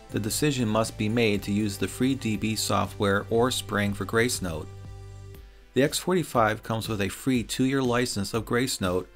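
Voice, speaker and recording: A middle-aged man reads a scripted product review voice-over in a wry, opinionated way. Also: fairly low pitch. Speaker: reviewer and host.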